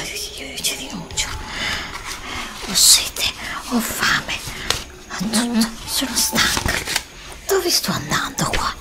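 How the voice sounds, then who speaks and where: A woman voicing a frightened, weary, distrustful heroine lost in a forest in an ASMR roleplay, breathy, plaintive and hesitant, close to the mic. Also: medium pitch. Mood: weary, anxious. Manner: whispered, breathy, plaintive, hesitant with repeated phrases. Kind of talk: ASMR roleplay, whispered acting. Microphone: close desktop USB microphone.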